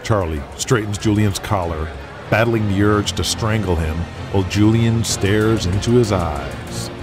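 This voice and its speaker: A man narrating a dramatic scripted audio story in a measured, suspenseful way. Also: fairly low pitch. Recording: loud music behind the voice. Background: loud music.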